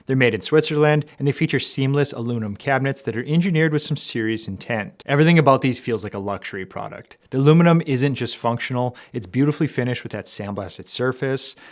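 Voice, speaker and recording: A man with a mid-pitched voice delivers a product review in a measured, explanatory manner. He sounds like a reviewer working from prepared points, leaning on key words for emphasis. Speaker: audio equipment reviewer. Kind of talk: product review to camera, semi-scripted.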